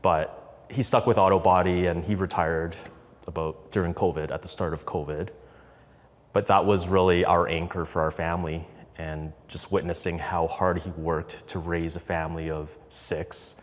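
A man, telling a personal story in an interview with conversational, halting phrasing that often repeats words. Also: low voice, steady tone, big-room sound. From Canada, raised in Calgary from infancy. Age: 30s. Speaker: podcast guest, business co-founder.

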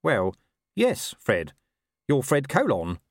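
A man's voice: high voice, very animated, moderate pace, dry recording, studio quality, pitched above the performer's own voice. A man voicing a flustered male corporal in a comic audiobook, wheedling, wailing and stammering as he placates. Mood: placating.

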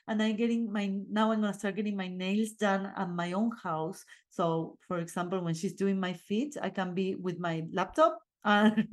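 A woman's voice: mid-range voice, a little mellow. Chatty woman, emphatic and informal. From Argentina. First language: Spanish. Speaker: podcast host. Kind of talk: casual personal monologue with life advice.

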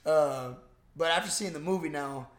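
A young man co-hosting a podcast, chatting casually about films in an excitable, slangy way with some swearing. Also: a little high, normal speed, roomy sound. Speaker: podcast co-host. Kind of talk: casual film review chat.

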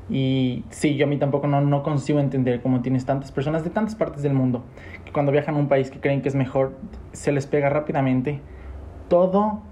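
Young male podcast host, mid-pitched with a warm, dark tone, emphatic and speaking straight to listeners. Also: slight background noise. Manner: emphatic, addresses listeners directly. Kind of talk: podcast talk and commentary.

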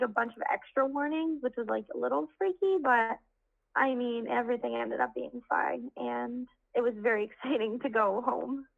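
Young woman co-host with a gravelly, slightly high voice; easygoing, muffled lo-fi sound. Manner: easygoing storyteller, laughs often. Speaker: podcast co-host. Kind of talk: casual chat. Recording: dull lo-fi sound.

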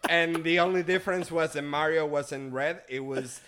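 A man's voice: a little high, moderate pace, background noise. Man, podcast guest; earnest, reflective, restarts often. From Venezuela. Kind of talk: casual chat.

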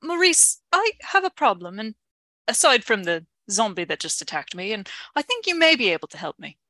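A genteel, fretful lady professor acted out by a woman, high in pitch and very animated. She is polite and earnest, a little hesitant, in an old-fashioned melodramatic radio play style, recorded close and dry. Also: moderate pace, no echo. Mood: flustered. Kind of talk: melodramatic radio drama acting.

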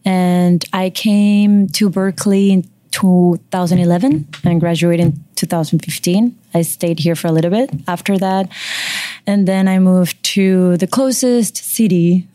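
A woman singer from Venezuela in her thirties introduces herself in a calm, measured way. Her voice is low and mellow. The sound is dry and close. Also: dry recording. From Margarita Island, Venezuela. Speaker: singer, guest.

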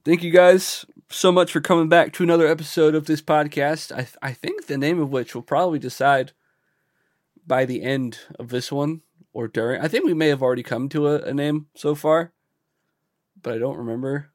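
A man's voice: a little high, normal speed, no echo, clean studio sound. A man co-hosting a podcast in casual, slangy, sweary talk, congested as he gets over a cold. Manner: slangy, swearing, says "bro" and "dude". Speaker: podcast co-host. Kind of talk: casual chat.

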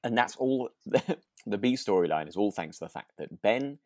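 A man's voice: fairly low pitch, expressive, normal speed, no room sound, clean studio sound. A British man co-hosting a casual podcast about a radio drama, speaking hesitantly with chuckles as he talks. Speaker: podcast co-host and actor.

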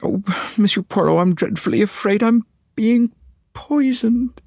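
A man's voice: sits high, expressive, unhurried, gravelly, mellow, no echo, clean studio sound, voice pushed up, full character voice. A man reading an audiobook, voicing an anxious, indignant middle-aged woman who talks in a prim, complaining way. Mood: fretful. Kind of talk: audiobook character voice.

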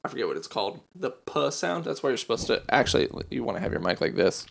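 A man co-hosting a podcast riffs crudely on the story his co-host reads. His pitch leaps up and down as he jokes. It is casual, unscripted banter. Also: fast talker, vocal fry, a little hiss or hum. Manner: crude, animated riffing.

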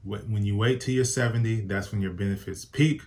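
Male host, fairly low voice, casual and emphatic, prone to restarts. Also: steady tone, brisk, a little mellow. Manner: upbeat, emphatic, repeats and corrects himself. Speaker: personal finance show host. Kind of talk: casual advice talk to camera.

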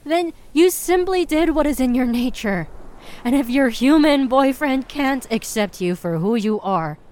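A woman playing a calm, assured mermaid confidante in an audio drama, soothing and persuasive. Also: high-pitched, a little slow. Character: calm, consoling female mermaid confidante, unashamed of killing.

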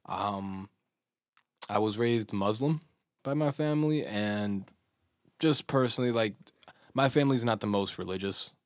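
Chill american dude from chicago, deep voice, jokey, says like a lot. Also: male voice, a little slow, pauses often, dry recording, studio quality. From Chicago, USA. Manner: joking, easygoing, lots of "like". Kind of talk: casual chat.

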